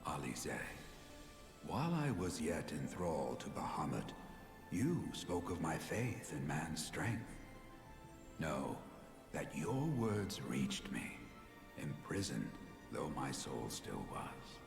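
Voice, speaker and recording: Slow gravelly old wise grandpa in a video game, solemn n tender, hushed endings. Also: male voice, a bass voice, sing-song, exaggerated voice. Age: older. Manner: grave, archaic, measured, with breathy hushed phrase endings. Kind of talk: scripted dramatic game cutscene acting. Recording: echoey long reverb, game music behind. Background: game music.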